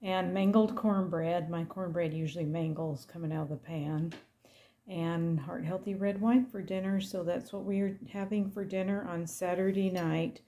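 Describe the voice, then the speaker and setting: A woman's voice: fairly low pitch, steady tone, moderate pace, dark tone, slight background noise. A middle-aged woman casually narrating her home cooking, chatty and homey. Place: home kitchen.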